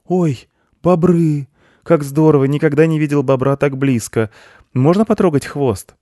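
A man's voice: medium pitch, expressive, moderate pace, mellow, dry recording, studio quality, pitched above the performer's own voice, lightly acted. A man voicing a friendly, well-mannered, eager little boy in a children's story. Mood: delighted. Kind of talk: storybook character voice.

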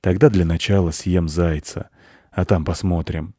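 A man voicing a gloomy, worldly-wise storybook wolf who grumbles in a slow, colloquial, weary way. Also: low-pitched, steady tone, dark tone, breathy edge, dry recording, clean studio sound. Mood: gloomy, matter-of-fact.

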